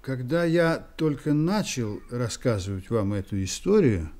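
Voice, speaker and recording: A reflective man narrating a radio drama about a friend. The voice is mellow and mid-pitched, musing and conversational, like someone telling a personal story. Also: sing-song, a little slow.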